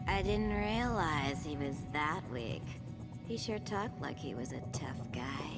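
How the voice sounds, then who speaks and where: An actress playing a cocky, sadistic video game fighter who mocks and taunts with menace. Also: female voice, deep voice, expressive, takes it slowly, loud music behind the voice, heavily acted. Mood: mocking. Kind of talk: video game cutscene acting.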